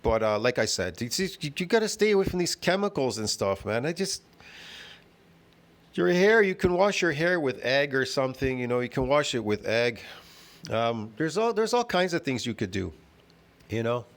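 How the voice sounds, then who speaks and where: A man's voice: mid-range voice, big pitch swings, moderate pace. A man hosting a reaction video, talking in a rambling, repetitive and mocking way, often tossing in "get it?" and "bro". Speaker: host reacting to a video clip. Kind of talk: casual rambling commentary.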